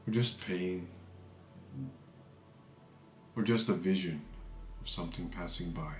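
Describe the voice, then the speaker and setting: Slow, low-voiced man guiding meditation. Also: roomy sound, soft background music. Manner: calm, measured, pausing between short phrases. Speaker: meditation teacher. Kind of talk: guided meditation, unscripted spiritual talk.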